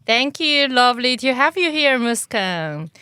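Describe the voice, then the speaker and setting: A woman hosting a podcast, reading a formal introduction and asking guests measured questions. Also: high voice, big pitch swings, pushed and bright, clean tone, no echo, studio quality. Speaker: podcast host. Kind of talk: podcast interview, scripted intro.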